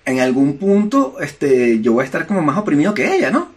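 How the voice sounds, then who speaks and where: A man's voice: a little high, sing-song, a little fast. A man with a Venezuelan accent, co-hosting a podcast in a casual discussion, arguing emphatically with lots of filler words. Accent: Venezuelan Spanish. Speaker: podcast co-host.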